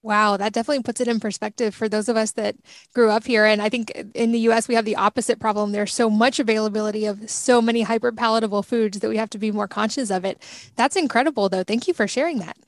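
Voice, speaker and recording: Female podcast host, upbeat, a bit high, with some vocal fry, dry close-mic sound. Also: fairly even intonation, fast, dry recording. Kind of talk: podcast intro and interview.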